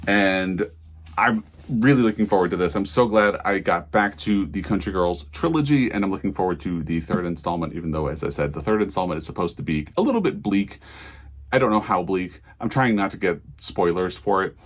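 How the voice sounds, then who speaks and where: A man with a fairly low-pitched voice talks through a book review in a casual vlog style. He is thoughtful and enthusiastic, wandering through his points as he explains them. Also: a little fast.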